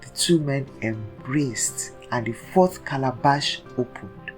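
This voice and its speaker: A woman narrates a folktale as a storyteller, slowly and with dramatic care. Her delivery is scripted and deliberate, set over faint music. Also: extremely low pitch, expressive.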